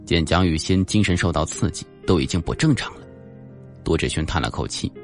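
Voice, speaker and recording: A man's voice: low voice, lively intonation, a little fast. A man narrating a suspense audiobook in a dramatic, scripted storytelling style. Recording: faint background music.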